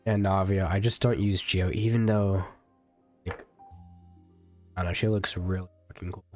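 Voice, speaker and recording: A man's voice: sits low, fairly even intonation, moderate pace, darkish tone. Chill guy streaming a game, rambly, swears a lot, faint music behind. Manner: laid-back, rambling, swears casually. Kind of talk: casual gameplay commentary.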